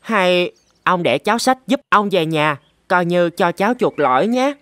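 An actor playing a playful, mischievous little boy elephant in a children's cartoon, with a childlike, expressive delivery. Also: mid-range voice, measured pace, no room sound. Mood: apologetic, helpful. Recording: music bed behind the voice.

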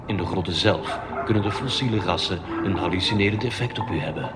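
A man's voice: deep voice, fast. A man giving a comic, mock-solemn welcome announcement for a boat ride, dropping in absurd asides. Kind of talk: comic scripted attraction announcement. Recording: music playing loudly over it. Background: loud music.

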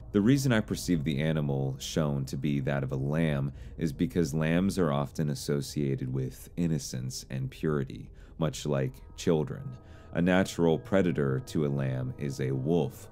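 A man reading scripted analytical narration for a video explainer in a measured, deliberate way. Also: extremely low pitch, mellow, slight background noise.

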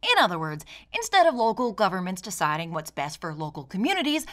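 A woman's voice: lowish voice, big pitch swings, quick pace, fairly bright tone, faint music behind. An American woman from Michigan narrating a scripted video essay in a sardonic manner. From Michigan, United States.

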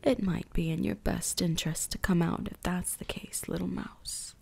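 A woman voicing an ancient, predatory and possessive vampire huntress in an ASMR roleplay, breathy and often whispering, with a teasing, menacing manner. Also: fairly low pitch, fairly even intonation, moderate pace, a little mellow, full character voice. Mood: predatory, taunting. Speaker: voice actress.

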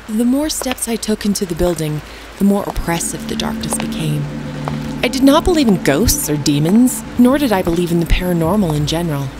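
A woman telling a scary first-person story as scripted narration, in a deliberate, dramatic manner. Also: deep voice, noisy background. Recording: loud rain and ambience behind voice.

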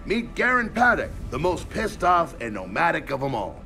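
An American actor playing a cocky, sardonic middle-aged engineer soldier in a video game. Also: male voice, fairly high pitch, very animated, moderate pace, bright, forceful tone, faint music behind, exaggerated voice. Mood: cocky, brisk. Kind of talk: video game cutscene acting.

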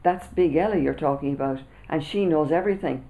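A woman's voice: sits low, fairly quick, warm tone, poor audio. A woman doing a pleading schoolboy. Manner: pleading, playful. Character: cheeky schoolboy friend, dramatic and pleading.

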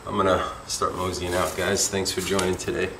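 A middle-aged man with a gravelly voice, talking casually and a little uneasily to viewers in a rambling handheld vlog. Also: low-pitched, little pitch movement, a little fast. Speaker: urban exploration vlogger. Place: abandoned derelict house and surrounding woods. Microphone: handheld camera at arm's length. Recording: faint outdoor noise with chirping insects.

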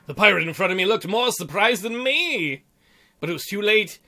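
A man with a high, bright voice reads a visual novel aloud as he plays. He breaks off for goofy, irreverent asides, and music plays behind him. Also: animated, normal speed. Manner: goofy, irreverent, crude joking. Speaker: gameplay host reading a visual novel aloud. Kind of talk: playthrough narration with joking asides.

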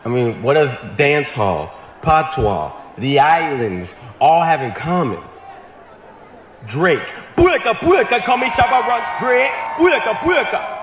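A man's voice: on the high side, expressive, takes it slowly, dull audio, some chatter behind, slight background noise, pitched below the performer's own voice. A man doing a put-on Jamaican accent as a swaggering rapper persona, in an echoey room. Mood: boastful.

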